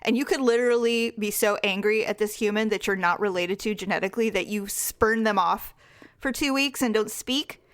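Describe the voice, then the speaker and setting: A woman in her forties co-hosting a podcast, chatting casually with her sister, blunt and frank and quick to laugh. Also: mid-range voice. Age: 40s. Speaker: podcast co-host. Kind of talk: casual chat.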